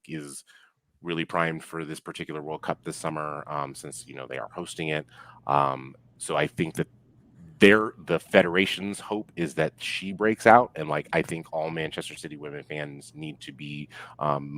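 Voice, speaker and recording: A man giving casual sports analysis as a podcast guest, halting often and leaning heavily on filler words. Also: very deep voice, fairly bright tone. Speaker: podcast guest, football pundit.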